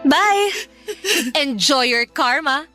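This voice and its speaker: A woman's voice: high-pitched, lively intonation, extremely slow, bright, forceful tone, faint music behind, full character voice. A Filipino woman playing a vengeful young daughter in a radio drama, taunting her father's mistress with sarcasm and scorn. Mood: satisfied.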